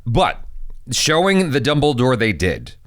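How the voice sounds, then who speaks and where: Animated man in casual chat. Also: mid-range voice, unhurried, dry recording, studio quality. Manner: animated, teasing, talks through restarts. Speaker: movie reaction co-host.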